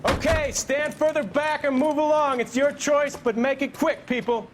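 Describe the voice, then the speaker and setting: A bossy street cop with an extremely high, raised voice. He sounds commanding, as in a detective game, over clear street noise. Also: fairly even intonation, moderate pace.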